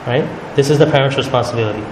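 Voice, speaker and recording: A man leading a religious study circle, explaining calmly and often checking in with "right?". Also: medium pitch, racing. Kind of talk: religious talk to a group. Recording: echoey hall with noise.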